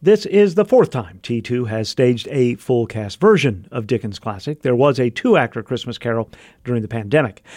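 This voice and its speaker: A man's voice: mid-range voice, a little mellow, no echo, studio quality. A man hosting a radio show, presenting from a script.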